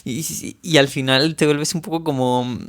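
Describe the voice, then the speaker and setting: Man, softened Murcian Spanish accent, podcast host, empathetic, conversational. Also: medium pitch, steady tone, moderate pace, clear, resonant tone. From Murcia, Spain. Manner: supportive, empathetic, relates own experience. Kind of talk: casual conversation.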